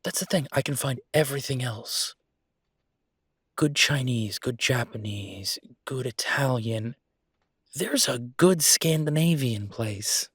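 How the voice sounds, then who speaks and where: A man playing an easygoing, passionate restaurateur boyfriend in a conversational roleplay audio drama, husky and chatty with emphatic repetition. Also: mid-range voice, slow pace, fairly bright tone, dry recording, clean studio sound. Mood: enthusiastic, opinionated.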